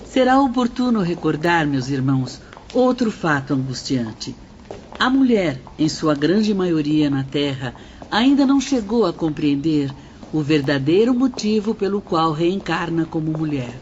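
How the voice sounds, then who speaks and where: A woman's voice: low voice, expressive, a little slow, darkish tone. A woman with a Brazilian accent playing a comforting, earnest spirit sister giving moral instruction in an audio drama. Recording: muffled, clearly noisy audio.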